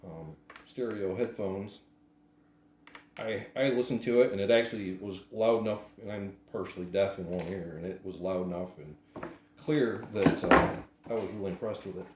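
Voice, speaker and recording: Low-voiced man, casual unboxing, roomy echo. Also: moderate pace, darkish tone. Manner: unscripted, hesitant, plain-spoken. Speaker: product reviewer doing an unboxing. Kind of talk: casual hands-on unboxing commentary. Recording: roomy echo, faint background noise.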